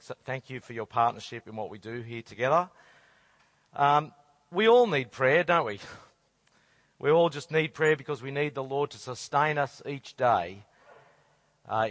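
A middle-aged man with a hoarse, croaky voice, preaching a sermon with earnest rhetorical questions. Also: medium pitch, fairly bright tone, no echo. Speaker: conference speaker.

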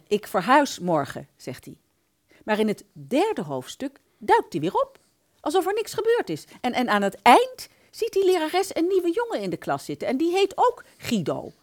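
An actress plays a puzzled older lady in a radio comedy sketch. Her pitch swings in a lively way as she stammers and repeats herself, apologetic yet stubbornly persistent. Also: normal speed. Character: fussy, hesitant older woman pensioner, puzzled and thrifty. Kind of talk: radio play dialogue.

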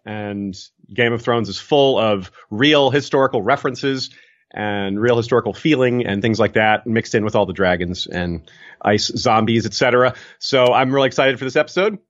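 A man's voice: medium pitch, normal speed, muffled recording. A man who hosts a podcast, talking in a casual, upbeat and friendly way. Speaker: podcast host.

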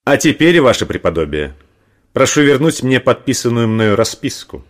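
An actor playing a dignified, courteous medieval knight in an audio play, speaking in a courtly, polite way. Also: male voice, medium pitch, sing-song, moderate pace, natural voice. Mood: quietly triumphant. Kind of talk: Russian audio play acting.

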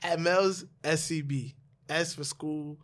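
A man's voice: on the high side, fairly even intonation, slow pace, a bit bright. A young man co-hosting a podcast, teasing and laughing, who says he mumbles. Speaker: podcast co-host. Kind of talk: casual chat.

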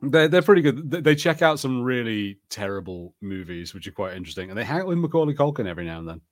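A man with an average-pitched voice chats as a podcast co-host. His delivery is casual and talkative, with a readiness to laugh. The sound is dry, with hardly any room on it. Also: very animated, fast talker, no echo. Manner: chatty, laughs often. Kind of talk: casual watch-party chat.

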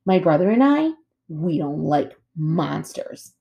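A woman's voice: low voice, big pitch swings, takes it slowly, creaky, mellow, lo-fi sound, full character voice. A woman voicing a young sister bear in a storybook, bossy and menacing, with drawling sarcasm. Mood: mock-polite menace.

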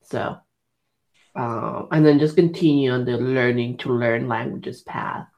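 Gravelly-voiced young man, hesitant. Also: mid-range voice, steady tone, measured pace, a little mellow. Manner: hesitant, many "um" and "like" fillers. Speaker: podcast co-host. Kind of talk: casual chat.

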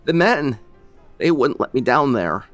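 A man voicing a grieving older rural man who talks in halting, choked bursts and breaks off mid-sentence. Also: medium pitch, big pitch swings, a bit bright, no echo, dull audio, faint music behind, pitched above the performer's own voice. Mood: grief-stricken.

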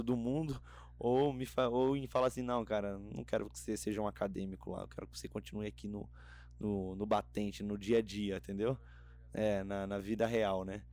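A young man from Rio de Janeiro state with a Carioca accent, a podcast guest chatting in a relaxed, rambling way full of fillers and restarts. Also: fairly low pitch, reverberant. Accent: Carioca Brazilian Portuguese. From Rio de Janeiro state, Brazil. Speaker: podcast guest, theology graduate. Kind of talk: casual chat.

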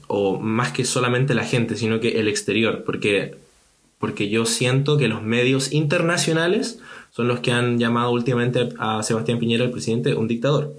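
Young man hosting a podcast, hesitant. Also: medium pitch. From Chile. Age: young adult. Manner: hesitant, many fillers and restarts. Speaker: podcast host. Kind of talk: casual discussion.

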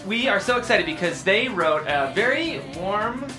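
A male show host with an animated delivery that rises and falls freely. He moves between casual presenting and scripted narration, with music clearly audible behind him. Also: high-pitched, moderate pace. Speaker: show host and stage-direction reader.

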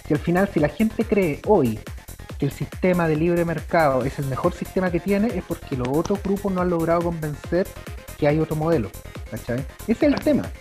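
Emphatic Chilean man debating, high-ish voice, music bed. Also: a little fast, a little mellow. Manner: emphatic, argumentative. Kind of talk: casual political chat.

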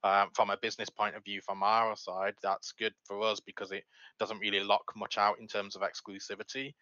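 A man with a low but bright, firm voice talks through business matters conversationally and without a script. The sound is dry and a touch dull. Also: level tone, a little fast, no echo. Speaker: esports organisation co-owner. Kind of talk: conversational business commentary, unscripted.

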